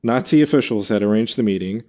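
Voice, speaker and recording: A man with a fairly low voice reads a scripted history story as podcast host. He is measured, stepping out for casual asides. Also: dark tone. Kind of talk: reading a scripted history narration.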